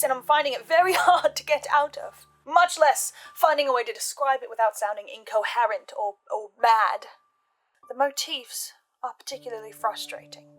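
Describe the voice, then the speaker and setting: Woman doing scripted horror lore breakdown, highish voice, deliberate pauses, music under it. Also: a bit bright. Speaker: host of a fan theory channel. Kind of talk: scripted analysis of a horror podcast, in-character investigator.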